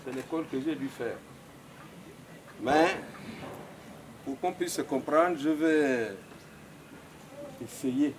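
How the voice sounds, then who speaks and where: An older man with a Gabonese accent, an elder whose first language is Ipounou, making a halting formal speech at a customary wedding. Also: a little high, slight background noise, low-quality recording. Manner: halting, searching for French words, many fillers.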